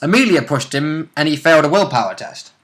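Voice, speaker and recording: A man's voice: on the high side, expressive, a little fast, a bit bright. A man chatting casually and bluntly as a player recapping a tabletop game.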